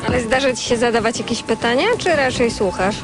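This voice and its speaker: A woman's voice: fairly high pitch, brisk, music in the background. A woman working as a radio interviewer, asking informal, inquisitive questions in a conversational style. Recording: clearly noisy, street and vehicle sounds.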